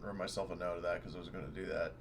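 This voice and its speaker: A man's voice: low-pitched, little pitch movement, racing, vocal fry, slight background noise. A man playing in a tabletop game, chatting casually and rambling, often breaking off mid-thought. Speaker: player. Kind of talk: casual chat at a gaming table.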